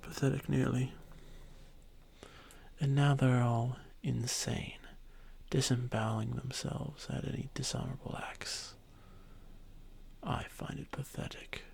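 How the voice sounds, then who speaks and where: A man playing an arrogant, ancient dragon boy who was once a god, speaking with haughty condescension in a breathy, creaky voice for a fantasy ASMR roleplay. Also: mid-range voice, level tone, a little mellow, exaggerated voice. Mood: disdainful. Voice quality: breathy, whispery, with creaky edges. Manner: haughty, condescending, unhurried formal speech.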